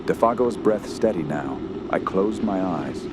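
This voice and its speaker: An actor playing a fearful, haunted camper, narrating a horror tale in a solemn, measured and dramatic way. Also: male voice, deep voice, lively intonation, heavy background noise. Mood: haunted, uneasy. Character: haunted, fearful male wilderness camper telling his tale. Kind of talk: scripted first-person horror narration.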